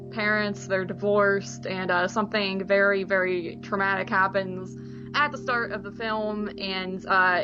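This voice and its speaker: A woman's voice: mid-range voice, lo-fi sound. A woman co-hosting a movie podcast, chatting casually about films in an informal, rambling way. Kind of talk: casual film discussion.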